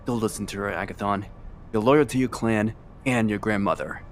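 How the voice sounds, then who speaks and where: A man playing a calm, persuasive vampire newcomer in an amateur game drama. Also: fairly low pitch, moderate pace, a little hiss or hum, natural voice. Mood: urging. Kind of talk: amateur voice acting of a visual novel.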